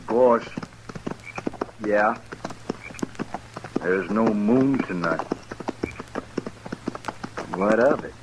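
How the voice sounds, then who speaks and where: An actor playing a bickering, uneasy outlaw on the run, with a rustic frontier drawl, in an old-time radio western. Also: male voice, on the low side. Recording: noisy vintage radio recording.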